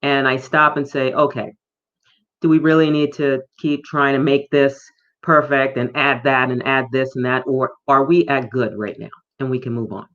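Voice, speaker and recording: A woman's voice: extremely low pitch, steady tone, normal speed, a bit bright. A woman from New York speaks as a guest coach, answering reflectively and thinking aloud. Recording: dull lo-fi sound.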